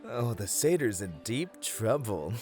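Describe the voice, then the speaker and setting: A man chats casually as a roleplay player. His delivery is unhurried and lively in pitch, playful in its banter, with faint music behind him.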